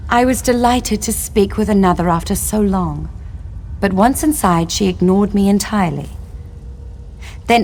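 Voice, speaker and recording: An actress playing a long-imprisoned elven wife in a fantasy game, speaking formally and solemnly, with bitterness and longing. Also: female voice, mid-range voice, a little mellow, slight background noise, natural voice. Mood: grave, wistful. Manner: formal, archaic, solemn phrasing. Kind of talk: scripted fantasy game dialogue.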